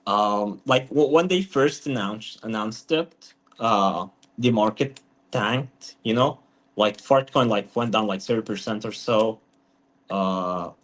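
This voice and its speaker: A man from Lebanon talking casually on a video call as a team member, with loose phrasing full of "like" and "uh". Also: on the low side. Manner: loose, filler-heavy, lots of "like". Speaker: company CTO on a community call. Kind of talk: casual chat.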